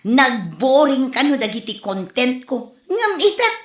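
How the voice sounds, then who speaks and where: A woman's voice: high voice, big pitch swings, measured pace, roomy sound. A woman with a Filipino Ilocano accent acting a feisty village wife in a comedy drama. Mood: disapproving.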